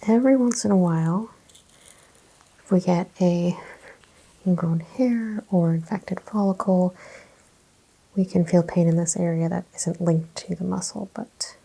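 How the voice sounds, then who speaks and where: A woman speaking softly and breathily, often in a whisper, giving gentle, reassuring instructions as a chiropractor in an ASMR roleplay. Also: on the low side, steady tone, measured pace, creaky, long pauses, rough recording. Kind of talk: ASMR, soft-spoken clinical roleplay exam.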